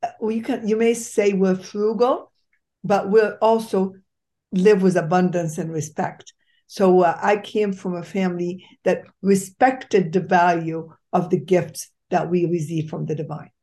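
A woman's voice: lowish voice, fairly even intonation, a little slow, mellow. A middle-aged woman from Egypt, a doctor hosting a podcast, telling her life story in an emphatic, enthusiastic way with fillers and restarts. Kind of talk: conversational storytelling interview.

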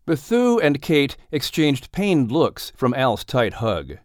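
A man reading a children's audiobook story as a scripted, expressive narrator. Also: mid-range voice, slow pace, dry recording, studio quality.

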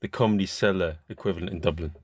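A man hosting a casual interview, talking haltingly and restarting his sentences. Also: low-pitched, darkish tone, dry recording, clean studio sound. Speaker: podcast host.

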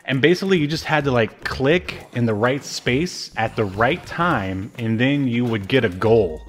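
A man's voice: mid-range voice, lively intonation, moderate pace, slight background noise. A middle-aged man co-hosting a podcast, talking about old video games in a rambling, informal, amused way. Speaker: podcast host. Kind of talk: casual retro game review chat.

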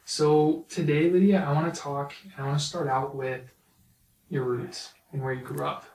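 A man's voice: fairly high pitch, flat delivery, moderate pace, darkish tone, poor audio. A young man in his twenties hosting a podcast, reading an upbeat intro and then asking casual interview questions. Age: 20s. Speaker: podcast host.

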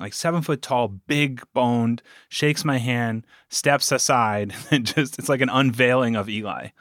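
A man's voice: medium pitch, a bit bright, no room sound, clean studio sound. A man chatting casually as a podcast guest, telling stories in a jokey way and chuckling as he talks. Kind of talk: casual storytelling chat.

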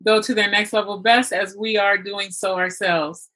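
A woman's voice: medium pitch, fairly even intonation, moderate pace, a bit bright. A woman hosting a show, speaking in an encouraging, enthusiastic way as she introduces and interviews. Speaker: show host. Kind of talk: scripted show intro and upbeat interview chat.

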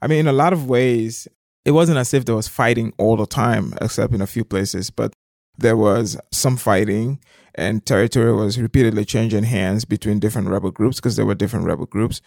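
A man's voice: on the low side, brisk, gravelly, darkish tone, no room sound, studio quality. A middle-aged man from Liberia, explaining things conversationally as an interview guest. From southeastern Liberia.

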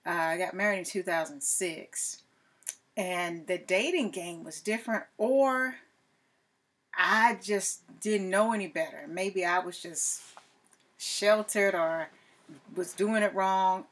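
A woman in her forties talking candidly to a camera as a podcast host, reflective and unhurried, with frequent fillers and restarts. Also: medium pitch, a bit bright. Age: 40s. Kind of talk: casual personal talk to camera.

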